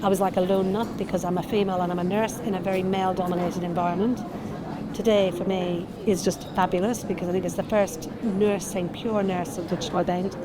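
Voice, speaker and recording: Nurse speaking over a crowd. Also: female voice, mid-range voice, level tone, brisk, poor audio. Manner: earnest, thinks aloud with restarts. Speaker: nurse and transformation consultant. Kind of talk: panel interview at an event. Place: conference event. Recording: busy crowd noise behind.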